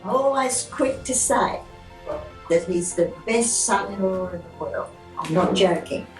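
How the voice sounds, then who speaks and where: Soft-voiced older grandmother. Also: medium pitch, lively intonation, a little slow, faint music behind. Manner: gentle, joking. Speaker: family grandmother. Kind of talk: casual family interview. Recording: echoey room heard from far off.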